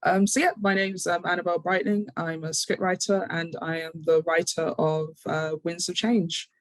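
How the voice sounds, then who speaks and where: A woman's voice: on the low side, steady tone, moderate pace, no room sound, poor audio. A British woman speaking casually as an interview guest, a little hesitant and self-deprecating.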